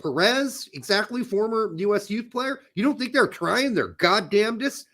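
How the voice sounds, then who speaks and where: A man with a high, very animated voice rants through a sports debate. He swears freely and presses his points hard. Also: normal speed. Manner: profane, ranting, emphatic. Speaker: podcast co-host.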